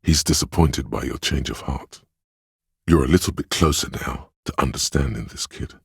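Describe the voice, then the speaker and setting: Game narrator: a man's empathetic inner voice, bass-deep and heavily husky, perceptive, tender, literary and unhurried in phrasing. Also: dry recording, clean studio sound, full character voice. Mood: perceptive, wry.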